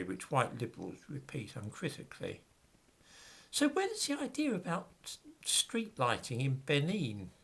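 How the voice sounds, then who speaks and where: Husky, lively-voiced man reading and scoffing. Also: medium pitch. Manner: dismissive, sarcastic asides while reading quotes. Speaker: commentator on history books. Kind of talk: reading aloud and commenting to camera.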